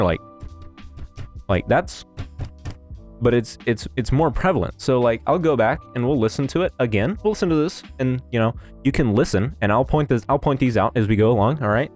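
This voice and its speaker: A man's voice: medium pitch, a little mellow. A man casually critiquing audio setups in a blunt, rambling way, often restarting and repeating himself. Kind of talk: casual opinion commentary.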